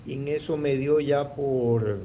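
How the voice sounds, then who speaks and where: Man in his sixties, mellow voice. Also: medium pitch, slow, slight background noise. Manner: rambling, full of restarts and fillers. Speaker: guest entrepreneur. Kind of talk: storytelling interview.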